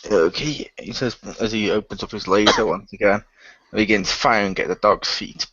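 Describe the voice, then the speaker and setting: A man's voice: lowish voice, normal speed, sharp tone, slightly rough, dry recording. A man playing in an improvised radio play, narrating his character's actions and lines. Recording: dull lo-fi voice recording.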